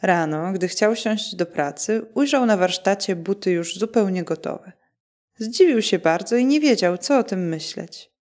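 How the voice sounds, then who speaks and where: A woman narrates a scripted bedtime fairy tale. Her voice sits at a middle pitch for a woman, and she reads the story for listeners about to sleep. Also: lively intonation, unhurried, a little mellow.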